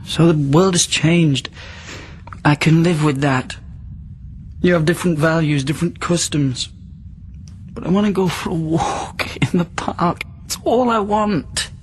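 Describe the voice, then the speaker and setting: An actor playing a desperate confined patient from the past in a radio drama, speaking in an emotional, halting, plaintive way. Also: male voice, fairly high pitch, slight background noise, close to an everyday voice. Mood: pleading. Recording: radio drama with music underneath.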